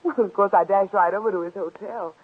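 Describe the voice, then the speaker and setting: A woman's voice: mid-range voice, steady tone, fairly quick, slightly rough, close to an everyday voice. An actress playing a poised, slyly probing young woman visitor, talking in a polite, genteel, conversational way in 1940s radio drama style. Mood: chatty, sly. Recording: tinny, muffled old-time radio recording.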